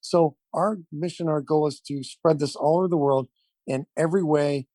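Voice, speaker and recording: Effusive man, slightly high voice, close dry sound. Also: fairly even intonation, normal speed, mellow, dry recording. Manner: enthusiastic, effusive, repetitive. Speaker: event founder, podcast guest. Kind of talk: earnest interview answers.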